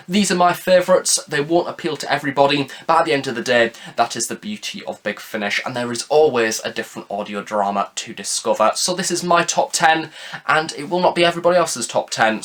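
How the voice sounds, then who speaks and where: A man's voice: medium pitch, a bit bright. A young man hosts his own review channel, talking through a yearly countdown in a chatty, upbeat way that leans on stock phrases. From United Kingdom. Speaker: audio drama reviewer, channel host. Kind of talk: scripted video review monologue.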